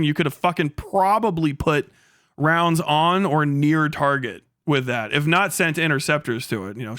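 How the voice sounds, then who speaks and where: A male streamer with a fairly high, clear voice talks casually to his viewers. He is blunt and sarcastic and swears freely. The sound is bright and forceful. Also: dry recording, clean studio sound. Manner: blunt, heavily profane, sarcastic. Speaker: live streamer. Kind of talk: casual livestream rant with chat.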